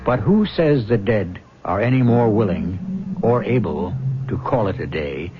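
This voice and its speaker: A man narrating as the wry, ominous host of a radio ghost story, in a theatrical, measured style. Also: on the low side, expressive, background noise. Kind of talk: scripted radio mystery narration. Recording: dull old radio recording. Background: music.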